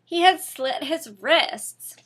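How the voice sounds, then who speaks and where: A woman's voice: high voice, slow pace, fairly bright tone, pitched above the performer's own voice. A woman voicing a melodramatic, gushing gothic teenage girl in a mock-dramatic fan fiction reading.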